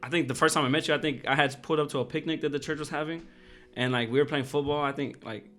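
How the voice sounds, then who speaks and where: A man's voice: fairly high pitch, fairly even intonation, fast talker, pushed and bright, soft background music. Casual young man chatting on a podcast. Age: 20s. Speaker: podcast co-host. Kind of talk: casual personal chat.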